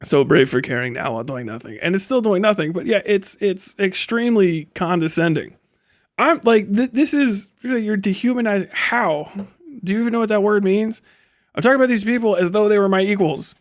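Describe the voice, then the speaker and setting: A man with a fairly high voice in a dry, close-sounding space, hosting a livestream. He rants casually and sarcastically, with plenty of slang and swearing, and is prone to laughing through his words. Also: expressive, fairly quick, no room sound. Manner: profane, slangy, sarcastic, laughs often while talking. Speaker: livestreamer, host. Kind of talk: casual livestream rant and commentary.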